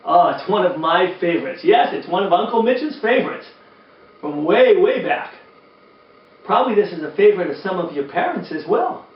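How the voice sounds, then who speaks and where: Animated, high-pitched older man reading to children. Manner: animated, expressive, drawn-out emphasis. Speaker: children's storytime host and reader, former teacher. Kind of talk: children's story reading and talk to young children. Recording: roomy, distant, dull sound with faint noise.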